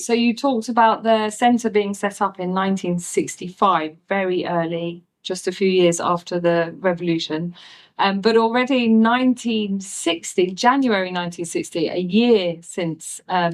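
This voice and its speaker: A woman hosting an interview, laying out background before each measured question. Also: medium pitch, fairly even intonation. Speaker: interviewer, host.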